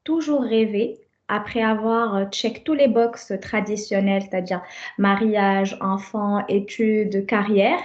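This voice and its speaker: A woman hosting a conversational interview, building her questions deliberately in short phrases, on slightly muffled audio. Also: medium pitch, fairly even intonation, a little mellow. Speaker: podcast host.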